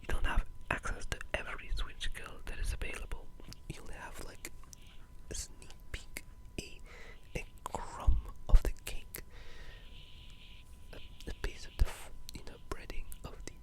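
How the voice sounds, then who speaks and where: Soft, unvoiced whisper, casual and rambling. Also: moderate pace, rough recording. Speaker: video game ASMR creator. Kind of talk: whispered ASMR gaming ramble.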